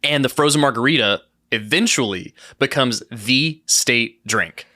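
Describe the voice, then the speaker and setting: A man's voice: mid-range voice, unhurried, pushed and bright. A man co-hosting a casual comedy podcast in a studio, telling a story. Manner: storytelling, chatty, laughs often. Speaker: podcast co-host.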